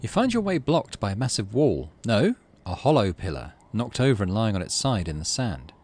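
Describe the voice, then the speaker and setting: A man's voice: lowish voice, sing-song, normal speed, darkish tone, husky. A middle-aged man hosting a let's play, reading game text expressively and making casual muttered asides to himself. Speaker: gaming channel host.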